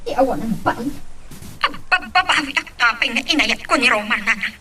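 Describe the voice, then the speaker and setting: A woman's voice: fairly high pitch, lively intonation, moderate pace, far from the mic, noisy background, lightly acted. A woman playing a bitter, lovesick young woman in an Ilocano melodrama, with an Ilocano accent. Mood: indignant, hurt. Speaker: voice actor in a drama.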